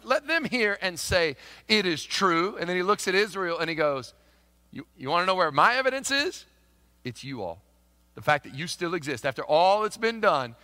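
A man with a Kentucky accent preaching a sermon as pastor, swinging from loud emphatic proclamation to soft conversational asides. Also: high voice. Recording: long hall reverb.

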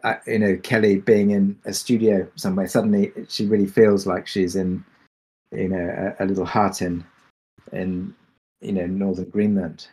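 Low-voiced man thinking aloud. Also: little pitch movement, moderate pace, dark tone, slightly rough. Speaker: podcast drama creator and producer. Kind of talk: informal panel Q&A answer.

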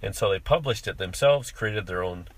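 A man hosting a podcast, talking off the cuff while driving in a car, congested from allergies, halting with many fillers and restarts. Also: on the low side, expressive. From living in Japan. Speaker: podcast host.

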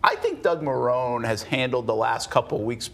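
A man's voice: medium pitch, lively intonation, moderate pace, a bit bright. Rhode Island man breaking down football. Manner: opinionated, storytelling analysis. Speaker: sports podcast co-host. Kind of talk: casual sports talk.

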